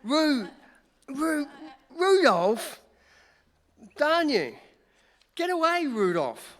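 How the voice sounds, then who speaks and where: A man's voice: very high voice, big pitch swings, very slow, a bit bright, exaggerated voice. A man playing an easygoing, wisecracking newspaper reporter in a radio play, folksy and wry. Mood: exasperated. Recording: echoey hall, noisy stage recording.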